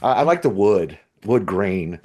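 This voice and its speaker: A man's voice: on the low side, very animated, measured pace, darkish tone. A man co-hosting a podcast in casual, unscripted talk, hesitant and rambling as he thinks aloud. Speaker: podcast co-host. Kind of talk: casual unscripted discussion of a book chapter.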